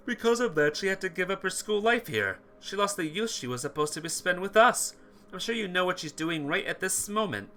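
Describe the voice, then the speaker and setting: A man's voice: sits high, expressive, fairly quick, pushed and bright, slight background noise, pitched above the performer's own voice. A man voicing an anguished teenage schoolboy from a visual novel, pleading emphatically over a lost friend. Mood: anguished, angry. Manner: impassioned, emphatic.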